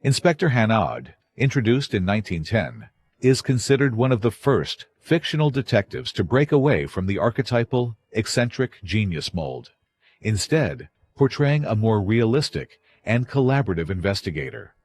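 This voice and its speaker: A man with a husky voice reading scripted narration as an announcer. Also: fairly low pitch, very animated, normal speed, darkish tone.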